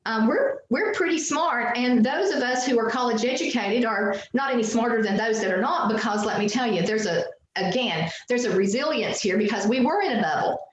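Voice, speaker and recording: A woman's voice: a little high, lively intonation, fairly quick, hardly any pauses. Twangy Appalachian woman in an echoey room. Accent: Southwest Virginia Appalachian twang. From Southwest Virginia. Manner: folksy, chatty, laughs easily, many fillers. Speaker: guest. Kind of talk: casual storytelling interview. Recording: very echoey room with faint noise.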